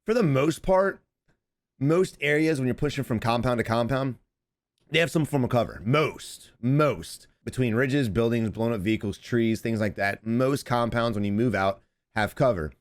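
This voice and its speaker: A man in his thirties giving casual coaching commentary over gameplay from a home streaming setup, his voice a little hoarse from streaming. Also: medium pitch. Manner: rapid, repetitive, advice-giving. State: slightly hoarse from screaming on stream.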